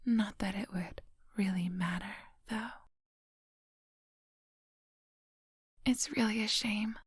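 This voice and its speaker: A woman playing a coolly menacing, coaxing vampire hypnotist in a soft whispered ASMR roleplay, close to the microphone. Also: mid-range voice, steady tone, husky, no echo. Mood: mock pity, menacing. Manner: slow, coaxing, hypnotic instructions. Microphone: close microphone, dry.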